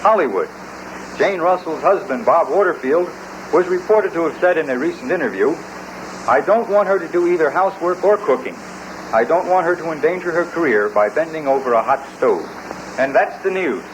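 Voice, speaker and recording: A man's voice: fairly high pitch, animated, normal speed, noisy background. A man reading as a radio announcer in vintage news style, on an old broadcast recording.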